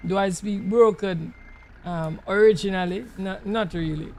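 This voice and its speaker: A man's voice: high-pitched, a little slow, darkish tone, a little hiss or hum. A man from Trinidad and Tobago with a Tobagonian Creole English accent, chatting in a laid-back, rambling way as a gaming YouTuber and slipping into creole speech. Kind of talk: casual chat while playing a truck game.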